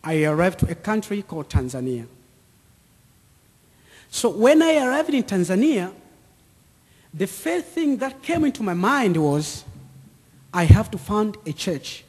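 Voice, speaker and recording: A man in his thirties with an East African accent, giving a personal testimony to an audience in deliberate, short repeated phrases. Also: high voice, very animated, darkish tone, breathy edge. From Central or East Africa, near Lake Tanganyika. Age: 30s. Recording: echoey hall with long reverb.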